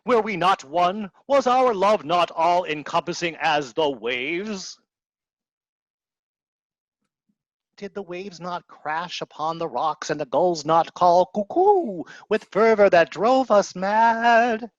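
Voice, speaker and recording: A man's voice: high voice, expressive, slow pace, few pauses, no room sound. A middle-aged man acting a grandiose, anguished stranded soul in a radio play, declaiming theatrically into a headset on a video call. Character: grandiose, anguished stranded man given to doom-laden lament. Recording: dull, lo-fi video-call sound.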